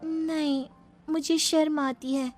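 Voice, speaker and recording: A woman's voice: high voice, steady tone, slow pace, darkish tone, soft background music. A woman voicing a coy, cheeky young bride in a comic story. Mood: shy.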